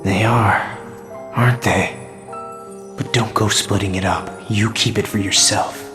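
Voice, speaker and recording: A man playing a gruff, sardonic but caring warrior hero in a video game, terse and blunt. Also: lowish voice, fairly even intonation, unhurried, reverberant, music in the background, natural voice. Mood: anguished, tender. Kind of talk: video game cutscene acting.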